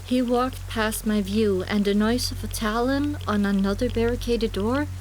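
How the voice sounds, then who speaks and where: A woman's voice: a little high, normal speed, a little mellow, slight background noise, poor audio. A woman reading dramatic first-person scripted narration for an audiobook.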